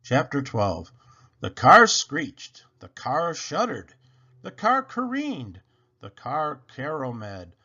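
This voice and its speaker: A man narrating a children's book aloud in an expressive, dramatic, emphatic style. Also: fairly high pitch, slow pace, husky, muffled recording. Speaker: storybook reader.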